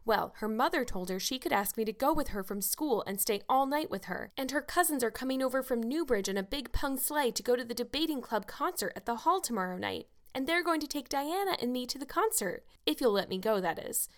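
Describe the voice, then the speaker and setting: A woman's voice: high-pitched, animated, brisk, voice pushed up. A woman voicing an eager, chattering young orphan girl who pleads breathlessly. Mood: excited.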